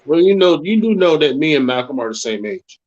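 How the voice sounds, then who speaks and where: A man's voice: a little high, big pitch swings, a little fast, a little mellow, muffled recording. A man in his thirties, narrating wrestling action and chatting casually, swearing freely. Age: 30s. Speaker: show narrator and commentator. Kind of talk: casual chat and read-out match narration.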